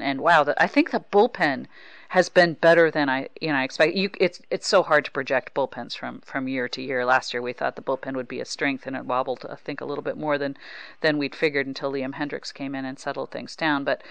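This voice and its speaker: Woman sports podcaster with gravelly fry. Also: deep voice, fairly bright tone, no echo. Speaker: podcast host, baseball writer. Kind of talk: casual sports interview chat.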